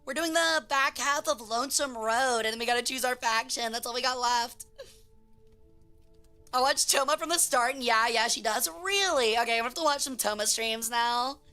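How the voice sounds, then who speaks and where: A woman streamer chatting casually with her chat in a hoarse voice, reading messages aloud. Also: fairly high pitch, moderate pace, sharp tone. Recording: faint music behind.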